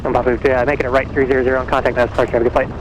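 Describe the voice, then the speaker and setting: Male tower controller, extremely fast clipped delivery over noisy aviation radio, medium pitch. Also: level tone. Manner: brisk, procedural. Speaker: air traffic tower controller.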